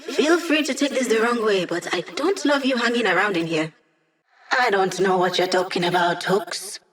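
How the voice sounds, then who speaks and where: A woman's voice: medium pitch, expressive, moderate pace, lightly acted. Casting: actress as a young female hacker turned digital mind, wry and playful squad helper for a video game, mid-range voice. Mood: wry, focused. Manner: casual, playful, teasing. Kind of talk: video game character voice lines.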